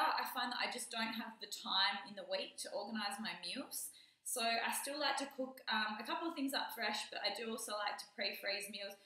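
A woman's voice: fairly high pitch, sharp tone. A young woman in her twenties, a personal trainer, talking casually through a kitchen tour with lots of "um" and "you know" fillers. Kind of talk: casual vlog walkthrough. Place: small home kitchen indoors. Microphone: camera mic a few metres away. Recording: echoey room audio from a distant camera.

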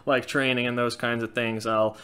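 A man in his thirties with a light Norwegian accent answers viewer questions in a casual, outspoken way. His voice is bright and crisp. He sits close to a webcam in a small indoor room. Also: medium pitch, normal speed. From Norway. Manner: frank, animated, gestures a lot. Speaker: channel host. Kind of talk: casual Q&A chat.

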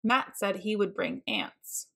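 A woman narrating a children's storybook in an expressive, deliberate way. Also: mid-range voice, gravelly. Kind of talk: read-aloud storybook narration.

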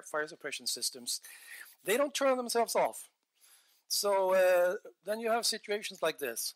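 A man in his forties giving an earnest technical talk on a headset mic in a large conference hall, gesturing as he speaks and often restarting his phrases. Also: a little high. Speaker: conference presenter.

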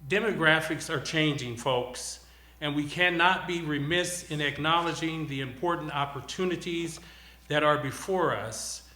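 A man's voice: fairly high pitch, unhurried, bright, forceful tone. A man giving a formal, declarative campaign speech, addressing his audience directly. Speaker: school board candidate. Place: TV studio. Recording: roomy, echoing studio sound.

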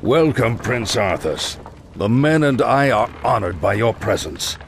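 An actor playing a seasoned knight commander and warm mentor, hearty, courteous and avuncular, in a fantasy game. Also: male voice, medium pitch, animated, a little slow, vocal fry, slight background noise. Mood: genial. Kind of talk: fantasy game dialogue acting.

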